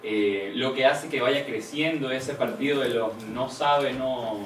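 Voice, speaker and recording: Male audience questioner, formal wording, mid pitch, distant in a reverberant room. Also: a little slow, noisy background. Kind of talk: formal question at a public forum. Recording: echoey room, distant mic.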